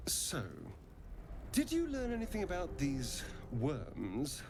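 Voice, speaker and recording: A man's voice: a little high, wildly swooping pitch, slow, a little mellow, a little hiss or hum, full character voice. Suave, drawling sardonic male rogue. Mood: arch, charming. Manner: theatrical, drawling, arch politeness. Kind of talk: theatrical video game dialogue acting.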